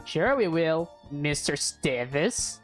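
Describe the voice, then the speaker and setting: A woman's voice: sits low, very animated, takes it slowly, soft background music, voice pushed down, full character voice. A woman voicing a cheeky, scheming teenage schoolgirl in a visual novel, defiant and dramatic.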